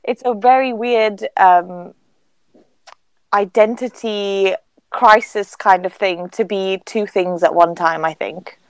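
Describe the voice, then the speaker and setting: A young woman from Zambia, a university student, whose accent sounds British with a faint African trace. She tells stories in an animated way and acts out the people she quotes. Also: mid-range voice, slow, clean tone, no room sound. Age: young adult.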